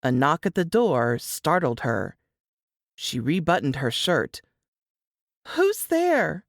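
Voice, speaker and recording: A woman reading scripted fiction aloud as narrator, in an expressive, deliberate, dramatic style. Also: very deep voice, no echo, clean studio sound.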